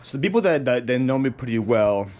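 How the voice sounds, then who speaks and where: A man's voice: mid-range voice, expressive, a little fast, darkish tone. A young man with a Ukrainian accent who was born in Ukraine, talking loosely in a casual vlog with lots of ums and restarts. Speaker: reseller vlogger. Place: warehouse.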